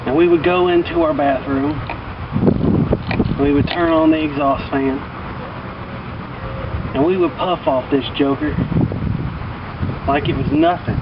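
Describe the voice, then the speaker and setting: Man telling stories over loud background noise. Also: fairly high pitch, steady tone, a little slow, long pauses. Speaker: vlogger telling personal stories. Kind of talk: casual rambling storytelling. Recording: distant mic, muffled, loud outdoor traffic-like noise.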